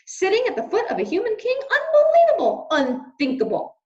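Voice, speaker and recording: A woman's voice: mid-range voice, animated, moderate pace, lightly acted. A woman voicing a distraught, blustering father mouse who exclaims emphatically. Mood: agitated. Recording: lo-fi dull recording.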